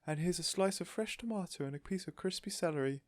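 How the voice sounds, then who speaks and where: Man putting on a high-pitched, gushing storybook lady. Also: quick pace, no room sound, close to an everyday voice. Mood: doting. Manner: gushing, effusive, exclaiming. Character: sweet, gushing woman doting on her pet tortoise. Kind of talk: storybook character voice in audiobook reading.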